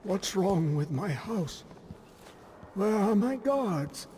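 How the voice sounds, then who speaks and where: A man's voice: high-pitched, expressive, slow, mellow, slight background noise. An actor playing a broken, distraught madman in a video game, a confused and frantic master of a house. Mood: bewildered, distraught.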